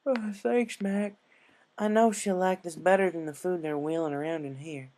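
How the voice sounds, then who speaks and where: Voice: medium pitch, steady tone, moderate pace, a little mellow, slight background noise, pitched above the performer's own voice, natural voice. A narrator voicing a caring, folksy young female farm pony with an earnest, homespun way of talking. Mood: brisk, caring.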